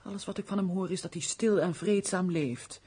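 An actress playing a gentle, melancholy castle housekeeper in a Dutch radio play, speaking in an earnest, refined, pleading manner. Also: female voice, fairly low pitch, moderate pace, husky. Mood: gently protesting.

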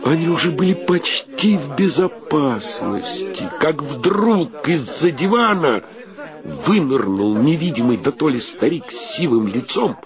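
A man narrating a radio play in an expressive, theatrical, literary storytelling manner. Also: fairly high pitch, measured pace, crowd noise.

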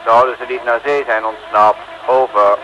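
An actor playing a dutiful patrol radio operator over two-way radio in an old Dutch radio serial, clipped and businesslike. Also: male voice, mid-range voice, steady tone, normal speed, bright, forceful tone. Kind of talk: 1950s-60s Dutch radio serial acting. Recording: muffled, faintly noisy radio transmission.

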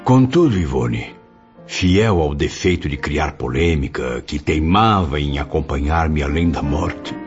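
An actor playing an inquisitive, courteous and earnest student spirit in a radio drama. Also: male voice, a bass voice, expressive, unhurried. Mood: thoughtful. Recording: dull lo-fi audio, music behind. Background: music.

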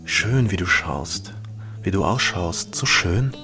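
Gravelly, low-voiced smitten lover. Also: male voice, expressive, slow, bright, forceful tone, faint music behind, heavily acted. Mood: tender, lustful. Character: slow, smitten male seducer murmuring to a lover. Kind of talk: intimate radio drama dialogue.

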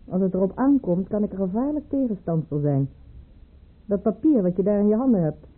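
A woman's voice: mid-range voice, animated, a little fast, husky. A woman acting in a Dutch radio drama as a haughty baroness and mistress at the tsarist court, with a theatrical delivery. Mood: wary, coaxing. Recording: muffled, tinny old radio play recording.

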